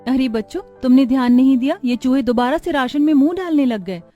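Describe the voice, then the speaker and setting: Cartoon village housewife and young mother, practical and caring, mellow higher voice, played by a woman. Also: brisk, dull audio, soft background music, close to an everyday voice. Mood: urging.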